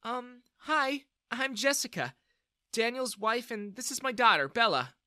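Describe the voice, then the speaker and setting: A kind middle-aged mother who speaks softly and apologetically, as a man puts her on. The voice is raised high and bright. The recording is dry and close. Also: big pitch swings, unhurried, no room sound, exaggerated voice. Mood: gentle. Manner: soft, apologetic.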